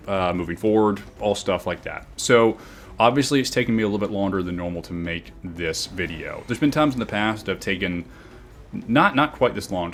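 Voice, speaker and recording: Deep, booming man talking over game footage. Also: fairly bright tone, a little hiss or hum. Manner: reflective and earnest, with many fillers and restarts. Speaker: gaming YouTuber. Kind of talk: game commentary and channel talk.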